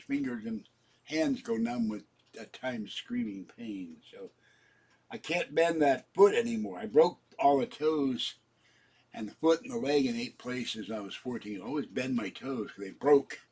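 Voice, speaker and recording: Husky middle-aged man from Pittsburgh. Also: medium pitch. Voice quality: husky, keeps clearing his throat. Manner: meandering, chatty, warm sign-offs to viewers. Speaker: vlogger. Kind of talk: casual rambling vlog chat.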